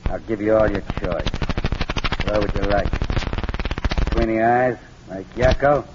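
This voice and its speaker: An actor playing a menacing, gloating gangster with a mock-polite, leisurely manner in a 1940s radio crime drama. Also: male voice, on the low side, slow pace, pauses often. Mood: smug menace. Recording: dull, noisy old radio recording.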